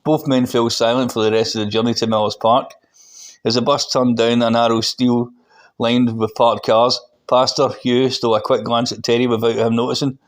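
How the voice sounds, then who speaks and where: A man's voice: medium pitch, flat delivery, normal speed. A man reading prose fiction aloud as the author, in a narrative storytelling style. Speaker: author reading own book.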